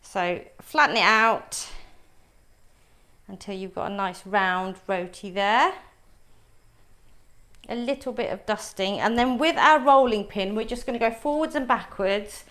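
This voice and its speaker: A middle-aged woman whose first language is Punjabi, teaching a live cooking class. She talks viewers through each step in a friendly, chatty way at a mid pitch. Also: a little slow, long pauses, a bit bright.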